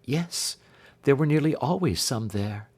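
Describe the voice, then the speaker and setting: A man voicing an earnest, distressed young gentlewoman in a storybook reading, with formal Victorian phrasing. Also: mid-range voice, lively intonation, measured pace, slightly rough. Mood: earnest, troubled. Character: Helen, distressed young gentlewoman recounting her sister's death.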